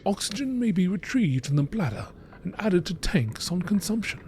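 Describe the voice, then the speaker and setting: A man delivers measured, scripted narration in the style of a nature documentary. His voice sits a little high and has a mellow tone, with lively, varied intonation. There is faint noise behind him.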